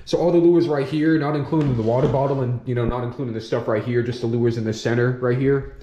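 A man's voice: medium pitch, fairly even intonation, quick pace, dark tone, lo-fi sound, a little hiss or hum. A young man hosting a casual fishing vlog at home, speaking to a camera on his desk and rambling as he fills his talk with "you guys" and "you know.". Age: young adult. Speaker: fishing channel host. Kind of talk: casual lure review vlog. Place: home room with large window, indoors. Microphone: camera on desk facing him. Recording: echoey room.

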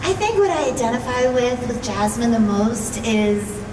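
A woman speaking as a panelist on stage, measured, pausing between phrases, recorded from the audience. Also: fairly high pitch, sing-song. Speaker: panelist, voice actress. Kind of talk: live stage panel talk. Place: large convention hall stage, recorded from the audience. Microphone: audience camera far from the stage PA. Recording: echoey hall from far off, noisy.

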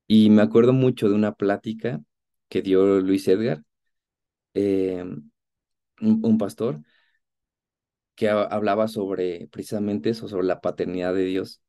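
A man of about thirty with a Mexican accent, giving an earnest, conversational personal testimony in a halting way over a video call. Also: on the low side, steady tone, dark tone. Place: indoor room at home, on a video call.

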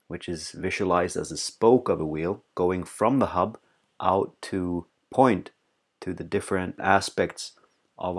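A man leading a guided meditation as a teacher, calm and unhurried, pausing between short, soothing phrases. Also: low voice.

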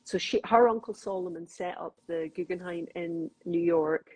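Glaswegian lady, husky and a bit low, chatty fast storyteller on a video call. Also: a little mellow, poor audio. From Scotland. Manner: chatty storyteller, quick, laughs often. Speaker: crime novelist guest. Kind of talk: casual conversational interview.

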